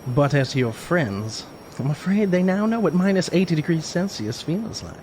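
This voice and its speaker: An actor playing a cold, cruel weather mage in an audio drama, speaking with smug, deliberate menace. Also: male voice, a little high, expressive, a little mellow, a little hiss or hum, close to an everyday voice. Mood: smug, menacing.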